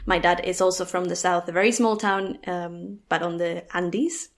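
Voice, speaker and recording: A guest from Argentina who works as an actor, talking casually with lots of fillers and frequent laughter. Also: female voice, lowish voice, steady tone, moderate pace. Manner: chatty, laughs often, rambling with fillers. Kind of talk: casual chat.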